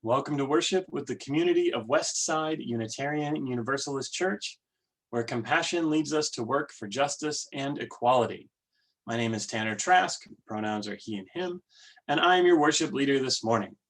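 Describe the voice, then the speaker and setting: Measured male worship leader. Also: mid-range voice, a bit bright. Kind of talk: church service welcome and readings.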